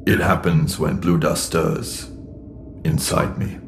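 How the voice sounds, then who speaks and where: A very low-voiced, stern alien warrior in a sci-fi audio drama. He speaks formally and tersely, calm and guarded, his deep tone sitting in a long, echoing reverb. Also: takes it slowly, vocal fry, background noise, exaggerated voice. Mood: quiet, calm.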